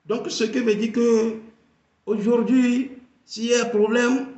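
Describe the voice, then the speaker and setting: A man analysing a video, speaking slowly and emphatically and repeating key phrases. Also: very high voice, flat delivery, a little mellow, echoey room, highs cut off. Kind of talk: political commentary.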